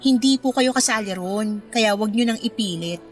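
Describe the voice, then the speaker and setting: A woman's voice: on the high side, moderate pace, close to an everyday voice. A woman playing a curt, resentful young college student in a radio drama, with cold, blunt politeness. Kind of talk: radio drama acting in Filipino. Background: music bed.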